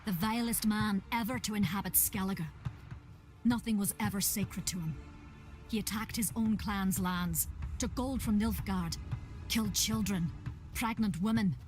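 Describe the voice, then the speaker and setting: A woman's voice: mid-range voice, a little slow, soft background music, close to an everyday voice. Woman as a stern, grave priestess. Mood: grave, condemning. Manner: formal, solemn. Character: stern, grave priestess recounting sacred lore. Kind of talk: fantasy video game acting.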